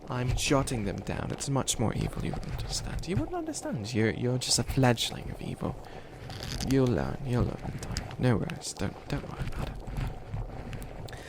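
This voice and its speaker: A man playing a grandiose but bumbling evil witch in a comedic ASMR roleplay, breathy and often near a whisper. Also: medium pitch, sing-song, moderate pace, noisy background. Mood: fussy, sheepish. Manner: theatrical villain declarations breaking into rambling, self-doubting asides.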